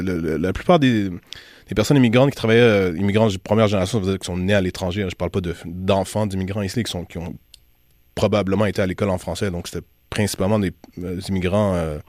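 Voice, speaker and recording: Male current affairs host, Quebec French accent, lower register, dry studio sound, reflective and opinionated. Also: a little mellow, dry recording. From Quebec, Canada. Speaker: radio host. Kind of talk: current affairs radio discussion. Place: radio studio.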